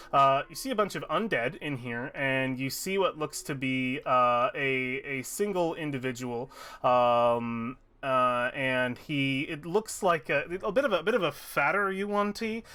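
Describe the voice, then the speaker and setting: A man runs a tabletop game, describing rooms in a loose, rambling way. He drops in fillers and often restarts his sentences. His tone is bright and forceful, at a middle pitch. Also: expressive, moderate pace. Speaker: game master.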